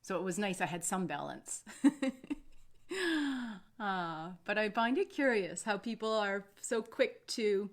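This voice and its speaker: A woman speaking off the cuff as a meditation guide, soothing and unhurried, meandering between pauses. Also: mid-range voice. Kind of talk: unscripted live talk and guided meditation.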